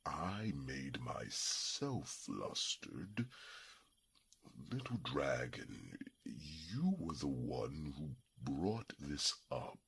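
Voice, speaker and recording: A man voicing a gruff, protective old dragon in an ASMR fantasy roleplay, with halting, formal speech and a breathy, gravelly rasp. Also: extremely low pitch, sing-song, fairly bright tone, exaggerated voice. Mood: flustered, grumpy. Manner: halting, formal, grumbling, theatrical pauses. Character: ancient gruff male dragon, flustered, protective, secretly lovesick.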